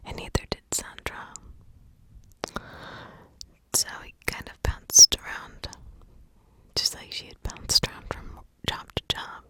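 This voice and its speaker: A woman speaks entirely in a whisper, in the style of ASMR storytelling. Her delivery is slow and hushed. She leaves deliberate gaps between short phrases as she narrates. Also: no room sound. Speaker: ASMR true crime storyteller.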